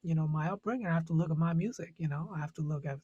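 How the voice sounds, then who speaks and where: A man from Puerto Rico speaks in a husky, slightly raised voice, thinking aloud about his music. He circles back and restarts often, using fillers as he searches for words. The sound is close and dry. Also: fairly high pitch, very fast, dark tone, no room sound, highs cut off. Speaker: jazz musician, panelist. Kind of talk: casual panel discussion.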